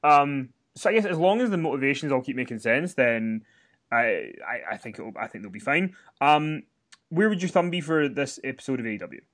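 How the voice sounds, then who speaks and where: A man's voice: medium pitch, animated, a little fast, a bit bright. Rambling man recapping wrestling. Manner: rambling, many fillers and restarts. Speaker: podcast co-host. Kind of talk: casual wrestling recap chat. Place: hotel room.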